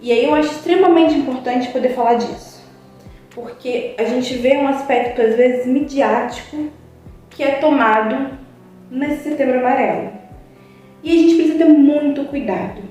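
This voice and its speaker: A woman's voice: high-pitched, moderate pace, warm tone. Earnest woman psychologist in an echoey room. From Brazil. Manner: earnest, reflective, many "é" fillers. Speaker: psychologist guest. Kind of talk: informal spoken talk to a web TV channel. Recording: echoey room, distant mic, faint music.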